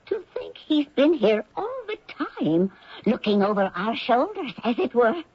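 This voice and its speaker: Casting: fussy, spooked lady, indignant and husky, dry close sound, old radio drama. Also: fairly high pitch, big pitch swings, measured pace, no echo, full character voice. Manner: fretful, dramatic. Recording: dull old radio recording.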